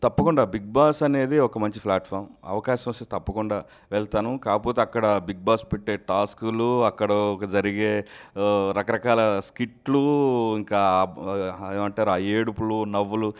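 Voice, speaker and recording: A man's voice: on the low side, steady tone, moderate pace, clean tone. A man speaking conversationally as an interview guest, explaining his work. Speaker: guest, mimicry artist.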